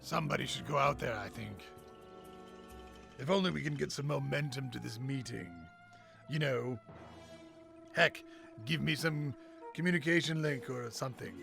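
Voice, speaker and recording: Man playing very gravelly gorilla supervillain. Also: mid-range voice, moderate pace, long pauses, fairly bright tone, soft background music. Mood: grudging, casual. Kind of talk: comedic radio play acting.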